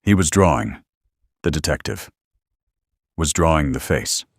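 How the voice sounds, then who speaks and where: Man acting as horror podcast co-host, very low voice, swinging intonation, deadpan sarcasm, skeptical. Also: a little slow, gravelly, no room sound, studio quality, full character voice. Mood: unnerved. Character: skeptical, sarcastic listener growing unnerved by horror tale. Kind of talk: scripted horror podcast banter.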